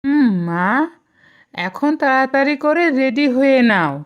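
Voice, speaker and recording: A woman's voice: sits high, animated, muffled recording, slight background noise. A woman voicing a loving, devout mother with a tender manner in an Islamic moral cartoon. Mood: gently prompting. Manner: tender, unhurried.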